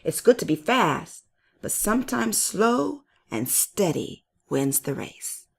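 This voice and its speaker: A woman voicing a modest, steady young male tortoise in a children's storybook, calm and plain-spoken. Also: medium pitch, slow, gravelly, husky, full character voice. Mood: content.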